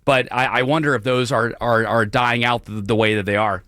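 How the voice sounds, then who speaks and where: A hoarse young man hosting a late-night talk radio show, apologizing and clearing his throat as his voice gives out. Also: mid-range voice, steady tone, fairly quick, sharp tone, no echo. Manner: apologetic, clears throat often, many restarts. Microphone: studio broadcast mic.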